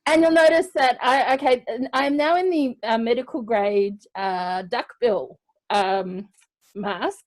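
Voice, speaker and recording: Woman, Australian accent, high-pitched, casual online host, discursive, halting, playful. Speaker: host of a live online variety show. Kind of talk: casual chatty hosting on a video call.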